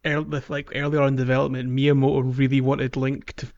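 A man's voice: mid-range voice, flat delivery, brisk, darkish tone. A man chatting casually as a panelist on a gaming podcast, on a lo-fi voice track. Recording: dull lo-fi voice track.

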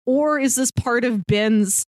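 Higher-voiced woman chatting about film, dry sound. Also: takes it slowly, a little mellow, dry recording. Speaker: podcast co-host. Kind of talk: casual film discussion.

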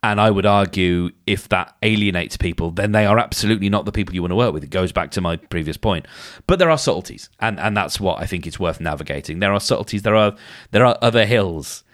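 A man with a hoarse, somewhat low voice hosts a solo podcast in a relaxed, chatty way. He thinks out loud and often doubles back mid-sentence. He speaks close to the microphone in a dry, dead room. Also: fast talker, no room sound. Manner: chatty, thinking aloud with frequent restarts. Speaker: podcast host. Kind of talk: casual solo podcast advice.

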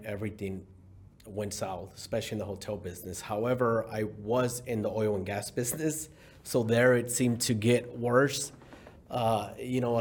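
A man's voice: on the low side, a little mellow. A middle-aged man born in Mexico, a company CEO as guest, talking in an easygoing way with fillers and restarts. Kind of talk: casual interview talk.

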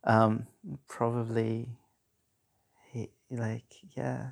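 Gravelly South African man. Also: mid-range voice, drawn-out words. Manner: relaxed, rambling with many fillers. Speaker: podcast guest. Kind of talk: casual conversation.

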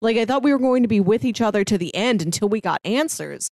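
A woman's voice: medium pitch, animated, quick pace, darkish tone, no room sound, studio quality. A woman co-hosting a podcast, casually discussing a story and acting out bits of dialogue as she retells it. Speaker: podcast co-host. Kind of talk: casual discussion of an audio drama.

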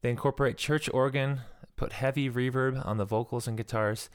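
A young adult man with a soft voice, hosting his own music podcast and casually explaining the songs he plays. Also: medium pitch, fairly quick, clean tone, no echo, studio quality. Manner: relaxed, explanatory, soft-spoken. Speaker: podcast host and songwriter. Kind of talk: casual spoken introduction to a song.

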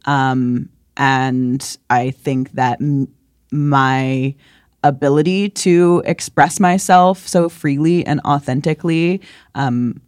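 A woman's voice: extremely low pitch, fairly even intonation, slow, a little mellow, no room sound, studio quality. A woman co-hosting a podcast who is a singer, talking expressively and laughing easily in casual chat.

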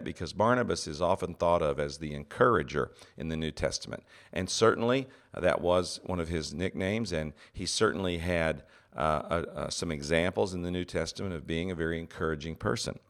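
A middle-aged man hosting a solo teaching podcast, his voice very deep and scratchy from a cold, speaking earnestly with many "uh" pauses. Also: fairly bright tone. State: has a cold, congested. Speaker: podcast host, seminary president.

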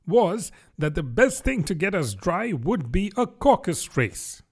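A man's voice: a little high, sing-song, slow pace, voice pushed up, heavily acted. A man voicing a solemn, wordy, pompous and easily offended dodo in a children's storybook.

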